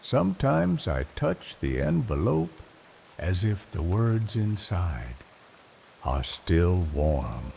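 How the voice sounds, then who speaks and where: An older man narrating a bedtime story in a slow, reflective, wistful way, leaving long pauses between phrases. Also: low voice, big pitch swings, gravelly. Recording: rain noise behind the voice. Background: soft rain ambience.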